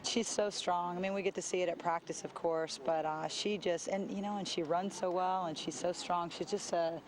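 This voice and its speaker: A woman coach speaks in an interview with a notably flat, narrow pitch range. She is outdoors, with faint noise in the background. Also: moderate pace. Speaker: softball coach. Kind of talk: interview answers.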